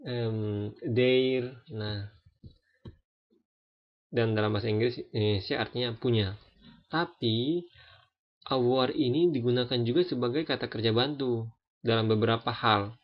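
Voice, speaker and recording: A man in his twenties giving a relaxed, hesitant French lesson, with many fillers and restarts. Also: medium pitch, unhurried, long pauses. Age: 20s. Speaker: French language teacher. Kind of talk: casual spoken lesson. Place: France. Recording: dull lo-fi recording.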